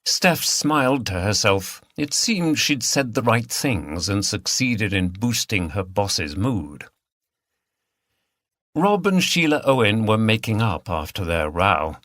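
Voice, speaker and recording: A man's voice: fairly low pitch, sing-song, takes it slowly, a bit bright, no echo, studio quality. A man narrating a mystery novel as a scripted audiobook reading.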